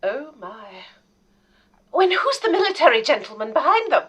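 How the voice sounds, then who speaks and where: A woman's voice: high-pitched, very animated, moderate pace, a bit bright. A woman playing an eager, chatty, deferential assistant to a lady detective in a period radio drama. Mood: curious, gossipy. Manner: inquisitive, deferential, gossipy.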